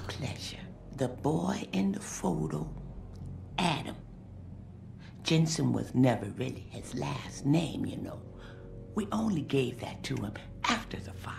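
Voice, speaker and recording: An actress playing a kindly, forgetful elderly former nurse in a video game, breathy, quavering and wandering as she talks. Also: deep voice, sing-song, measured pace, darkish tone, slight background noise, full character voice. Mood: wistful, rambling. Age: older. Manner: wandering, doddering.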